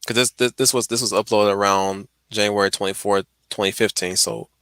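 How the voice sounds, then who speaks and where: A man's voice: fairly low pitch, fairly even intonation, fairly quick, fairly bright tone, clean tone, no echo. A man chatting casually on a livestream as he reacts to a video, often repeating words as he finds his way. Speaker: stream host.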